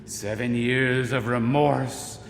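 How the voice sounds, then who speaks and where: A man playing the ghost of a dead old businessman in a radio play, speaking in slow, grave, booming pronouncements. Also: steady tone, vocal fry, slight background noise. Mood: solemn. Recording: echoey ghostly reverb with music.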